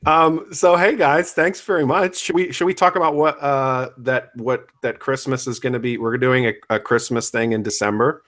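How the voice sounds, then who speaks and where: A man's voice: medium pitch, steady tone, moderate pace, hardly any pauses, a bit bright. A man co-hosting a podcast in casual post-show livestream chat, joking and teasing in an informal way. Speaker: podcast co-host.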